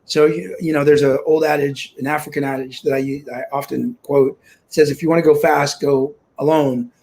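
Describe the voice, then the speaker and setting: A man's voice: a little high, steady tone, normal speed, darkish tone. A man in his forties from Kansas, telling his life story as an interview guest on a video call from home, upbeat and optimistic with frequent restarts. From Kansas, USA. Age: 40s. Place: home room.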